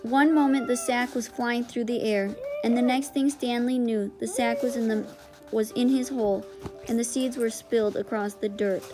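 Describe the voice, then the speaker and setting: A woman's voice: a little high, steady tone, moderate pace. A woman reading a storybook aloud as scripted narration. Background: music.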